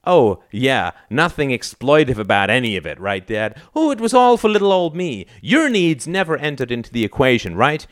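A man's voice: on the low side, expressive, normal speed, hardly any pauses, fairly bright tone, no room sound, clean studio sound. A man voicing a sullen, sarcastic teenage son in an audiobook story, snarling and aggrieved. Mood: bitter, sarcastic.

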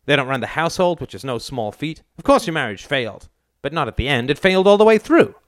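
A man's voice: a little high, lively intonation, quick pace, dry recording, clean studio sound, pitched above the performer's own voice, close to an everyday voice. A man reading aloud and voicing a blunt, crude, domineering older mother who lectures her son with scorn. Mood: lecturing.

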